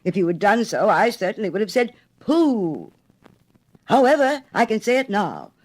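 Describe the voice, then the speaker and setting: A woman's voice: mid-range voice, sing-song, normal speed, voice pushed up. A woman playing an indignant, blustery male water rat in a storybook tale, huffy and exclamatory.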